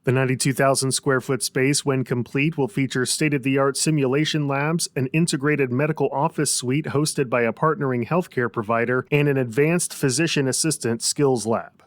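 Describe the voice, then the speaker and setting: A man's voice: mid-range voice, fairly even intonation, moderate pace, few pauses, dry recording. An American man reading a scripted radio news bulletin as the anchor, close on a studio mic.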